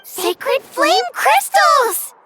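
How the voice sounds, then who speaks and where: A woman voicing a small, excitable, childlike companion girl in a video game. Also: very high-pitched, extremely slow, soft background music, exaggerated voice, low-quality recording. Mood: excited.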